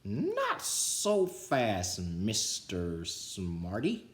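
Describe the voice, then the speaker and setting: A stern, menacing woman football coach from a children's storybook, acted out by a man. The commands come out bossy and barked, with big swings in pitch, in an echoey room. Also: wildly swooping pitch, drawn-out words, exaggerated voice. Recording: roomy indoor echo.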